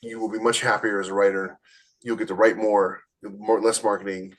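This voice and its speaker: A man giving casual, blunt advice as a podcast host, plain-spoken, with a slightly husky voice. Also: fairly low pitch, little pitch movement, moderate pace, fairly bright tone. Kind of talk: casual advice chat about book publishing.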